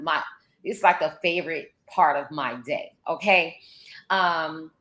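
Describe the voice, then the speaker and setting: A woman in her forties with a low voice talks about her life in an enthusiastic, casual way. She is a life coach and teacher on a video call. Her voice carries a roomy echo. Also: fairly bright tone. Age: 40s. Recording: echoey room.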